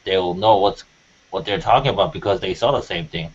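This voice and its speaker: A man's voice: low voice, a little fast, slightly rough. A man giving his opinions casually as a panelist on a music talk show. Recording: muffled audio with faint noise.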